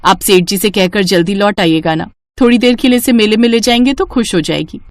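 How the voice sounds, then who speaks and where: A woman playing a practical, caring village mother, coaxing and homely, in a Hindi animated moral story. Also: medium pitch, fairly quick, no room sound, studio quality, close to an everyday voice.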